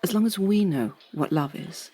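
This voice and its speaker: An actress playing an anxious, mercenary middle-aged wife in an audio drama, with a husky voice. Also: female voice, low voice, expressive, moderate pace, dark tone, a little hiss or hum. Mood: complicit.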